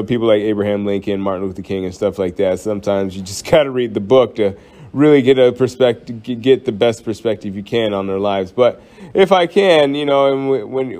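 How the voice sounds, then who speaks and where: A man's voice: lowish voice, a little mellow. A man hosting his own podcast, chatting casually and rambling with lots of fillers and jokes. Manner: rambling, joking, frequent fillers and restarts. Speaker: podcast host. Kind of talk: casual solo podcast chat.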